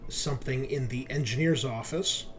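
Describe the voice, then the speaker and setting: A man running a tabletop roleplaying game, narrating casually in a halting, searching, informal way. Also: mid-range voice, faint music behind. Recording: clearly noisy recording with room ambience.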